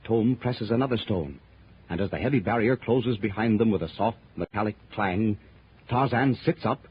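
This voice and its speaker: A man narrating a 1930s radio adventure serial in a suspenseful, theatrical style with a husky voice. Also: fairly low pitch, normal speed, slight background noise. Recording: muffled 1930s radio recording.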